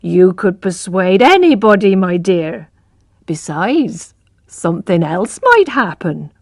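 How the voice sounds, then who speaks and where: A woman's voice: on the low side, very animated, slow pace, no echo, clean studio sound, full character voice. A woman voicing a hopeful country mother who speaks in a coaxing, persuasive way.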